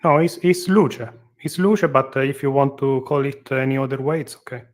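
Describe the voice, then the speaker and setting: A man with an Italian accent in a casual panel discussion, speaking haltingly and repeating words as he goes. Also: medium pitch.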